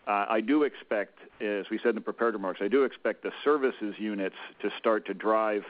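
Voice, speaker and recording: A man with a cold, sounding croaky, answering analyst questions on an earnings call with many halting restarts. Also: fairly low pitch. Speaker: company executive answering analysts. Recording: tinny phone line.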